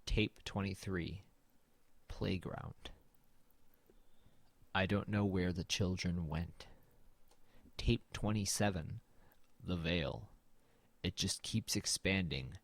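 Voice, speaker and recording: A man playing an uneasy narrator in a horror audio drama, telling it in the first person in a measured, brooding way. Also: deep voice, dry recording, full character voice. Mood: grave, ominous. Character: uneasy male narrator exploring a dead man's house.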